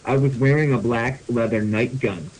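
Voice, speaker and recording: A man's voice: mid-range voice, fairly even intonation, normal speed, a little hiss or hum, rough recording. A man hosting a podcast, reading fan fiction aloud comically with mock seriousness and casual asides. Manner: mock-serious delivery, often breaking into laughter. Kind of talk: comedic dramatic reading with asides.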